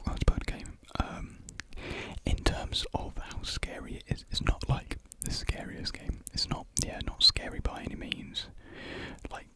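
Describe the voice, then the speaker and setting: Young man whispering ASMR. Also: a little slow. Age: young adult. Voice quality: breathy unvoiced whisper. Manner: soft, rambling, hesitant. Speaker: ASMR video creator. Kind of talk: ASMR whispered casual ramble about video games. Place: small room with painted block walls. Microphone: large desktop USB condenser microphone, close up.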